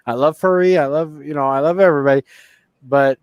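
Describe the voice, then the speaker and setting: A man's voice: a little high, steady tone, brisk, lo-fi sound. Tipsy rambling man chatting. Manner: rambling, tipsy, self-mocking. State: drunk. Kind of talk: casual chat.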